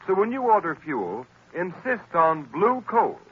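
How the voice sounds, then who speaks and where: Emphatic old-time radio announcer. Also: male voice, sing-song, a little slow, husky, muffled recording. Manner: booming, emphatic. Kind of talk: 1940s radio announcing and narration. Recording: old radio recording with music.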